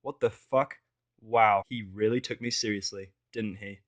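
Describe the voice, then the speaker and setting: A man's voice: on the low side, fairly even intonation, unhurried. Man narrating a first-person story. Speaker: narrator of a fan fiction audio reading.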